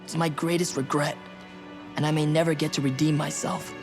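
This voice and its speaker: A man voicing a regretful, brooding teenage boy in an animated series, earnest and unsure. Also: a little high, brisk, natural voice. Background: music behind the dialogue.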